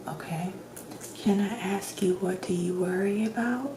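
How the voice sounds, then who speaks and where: A woman speaking softly and gently, often slipping into a whisper, in a measured ASMR roleplay as a doctor. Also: mid-range voice. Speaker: ASMR creator playing a doctor. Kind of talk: soft-spoken ASMR roleplay. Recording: roomy echo with clear background noise.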